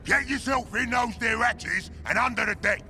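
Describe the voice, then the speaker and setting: An actor playing a brash, bossy ork warlord in a video game, barking orders in crude Cockney slang with a gravelly shout. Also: male voice, extremely high pitch, normal speed, over music. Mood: commanding. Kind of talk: video game cut-scene acting.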